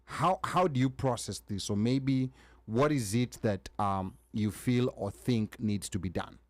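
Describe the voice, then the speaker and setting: A man's voice: medium pitch, a little slow, dry recording. A man hosting a talk show, moderating and asking long questions with many "you know" fillers. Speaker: host.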